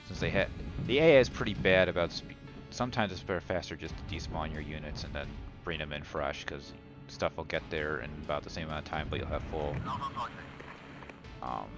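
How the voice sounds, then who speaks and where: A man with a very low voice talks through his own gameplay in a casual, hesitant way. He often pauses on uhs and ums as he thinks aloud. Loud game music plays behind him, with gunfire and artillery. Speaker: gamer commentating own gameplay. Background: game music, artillery, gunfire, vehicles.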